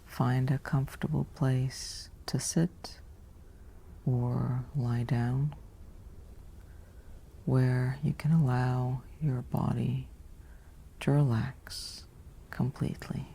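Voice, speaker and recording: A woman narrates a guided sleep meditation in a soft, whispery ASMR style. Her voice is mellow and full of heavy vocal fry. She speaks in an even, soothing way, leaving long pauses between short phrases. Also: very deep voice, slight background noise.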